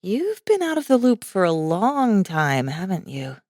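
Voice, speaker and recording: A woman voicing a nervous, sarcastic nineteen-year-old college girl in an audiobook, given to squeaks and hushed asides. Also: wildly swooping pitch, unhurried, no room sound, clean studio sound. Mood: soft, teasing. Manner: squeaky exclamations, nervous whispers, sarcastic slang. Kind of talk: audiobook character acting.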